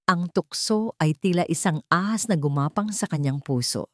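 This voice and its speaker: A woman narrating a dramatic story in a measured, suspenseful way, as scripted audio fiction. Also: lowish voice, no echo, studio quality.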